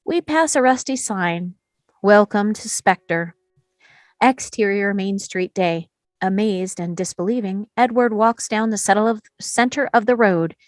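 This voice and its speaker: A woman's voice: medium pitch, unhurried, no room sound. A woman narrating scene headings and action descriptions as scripted narration in a table read.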